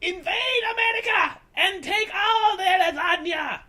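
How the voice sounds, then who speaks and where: A man's voice: very high-pitched, a little slow, sharp tone, pitched above the performer's own voice, heavily acted. A man voicing a wicked, defiant British army commander in a parody story, declaiming melodramatically. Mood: wicked shouting.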